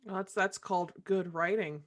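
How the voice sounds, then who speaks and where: A woman voice actor chatting casually, playful and quick to quip. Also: mid-range voice. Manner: playful, quipping. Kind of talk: casual chat.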